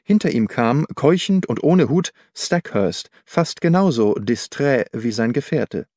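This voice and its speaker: A man's voice: mid-range voice, expressive, measured pace, darkish tone, no echo. Dry-sounding male audiobook narrator. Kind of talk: scripted literary narration. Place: dry studio.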